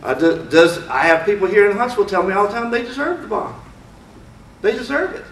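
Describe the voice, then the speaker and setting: A man's voice: sits high, expressive, a little fast, fairly bright tone, slight background noise. Man lecturing in an echoey hall. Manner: conversational, asks many rhetorical questions; opinionated, speaks frankly. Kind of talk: informal lecture to an audience. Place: lecture room. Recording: roomy hall, mic far off.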